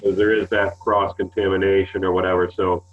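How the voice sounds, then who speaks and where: A low-voiced man explains procedures on a webinar in a rambling way, with lots of ums and restarts. He comes through a tinny, phone-like line. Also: little pitch movement, brisk. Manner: rambling, filler-heavy explaining. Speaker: sports department staff. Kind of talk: webinar briefing.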